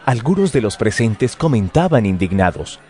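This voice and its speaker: A man giving formal, measured narration of scripture. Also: fairly low pitch, sing-song, a little mellow, some chatter behind.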